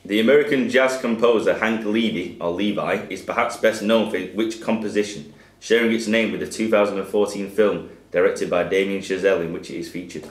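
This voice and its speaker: A man's voice: on the low side, steady tone, a little fast. A man with a Northern English accent reading quiz questions to players in a social club, in a dry, plain way. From England. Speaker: quizmaster. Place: social club function room with a table of players. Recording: roomy hall sound with faint background noise.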